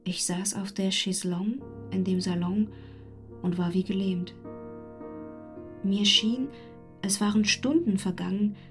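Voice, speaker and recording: An actress playing a sorrowful young woman who narrates in a hushed, intimate radio play style. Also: mid-range voice, lightly acted. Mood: numb, tense. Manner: hushed, slow, deliberate. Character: sorrowful young woman quietly recounting her ordeal. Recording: guitar and piano music behind. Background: guitar and piano.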